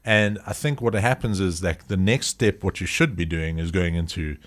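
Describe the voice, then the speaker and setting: A man in his thirties with a lowish voice talks loosely on a podcast. He rambles, restarts and slips into quick acted-out exchanges to make his point. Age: 30s. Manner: rambling, animated, acts out little scenes. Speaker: podcast co-host. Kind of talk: casual chat.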